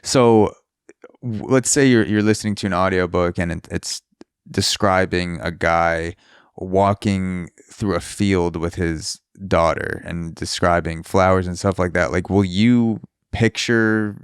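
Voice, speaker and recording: A man's voice: sits low, fairly even intonation, measured pace, smooth, no room sound, studio quality. A young man hosting a podcast interview, chatting casually and often restarting his questions. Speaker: podcast host.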